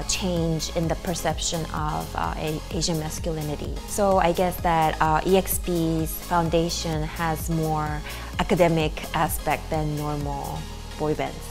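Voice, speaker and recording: Low-voiced woman explaining thoughtfully. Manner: thoughtful, with frequent "uh" pauses. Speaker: band creator. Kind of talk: interview answers. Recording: music playing underneath.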